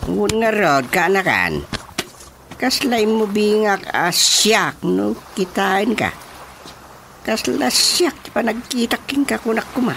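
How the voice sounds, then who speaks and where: A man's voice: sits high, lively intonation, slow, vocal fry, a little hiss or hum, full character voice. A man acting a boastful, jovial older uncle in a radio comedy drama, drawling and comically self-satisfied. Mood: instructing, fond. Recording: loud background music.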